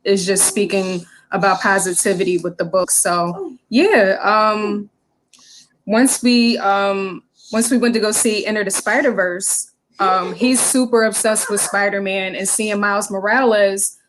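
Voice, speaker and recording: A woman children's book author answering interview questions, earnest and halting with many pauses and fillers. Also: mid-range voice, steady tone, a little hiss or hum, rough recording. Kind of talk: interview answers on a video call.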